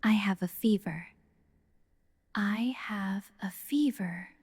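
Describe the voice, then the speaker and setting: A woman teaching English, repeating each short sentence several times in a slow drill, often dropping to a whisper. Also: mid-range voice, a little mellow, slightly rough, no echo.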